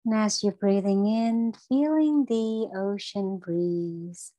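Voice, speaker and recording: A woman leading a guided breathing meditation for children, speaking in a gentle, soothing and encouraging way. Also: mid-range voice, takes it slowly, no room sound.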